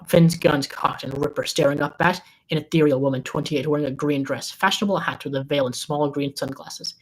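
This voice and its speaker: A woman's voice: low voice, slightly rough, poor audio. A woman reading a script's scene descriptions aloud as narrator at a table read.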